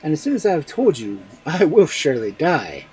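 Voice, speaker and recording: A man's voice: on the high side, very animated, brisk, darkish tone, slight background noise, voice pushed up, low-quality recording. A man voicing an exasperated, stubborn farmer husband in a fairy tale, grave and emphatic. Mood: grave warning.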